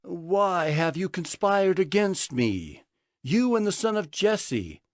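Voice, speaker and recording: A man's voice: sits high, big pitch swings, takes it slowly, dry recording, studio quality, exaggerated voice. A man playing a wrathful, imperious king in a dramatized Bible, drawing out his words in declamation. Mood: accusing. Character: wrathful, accusing king of ancient Israel. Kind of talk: dramatized Bible audio acting.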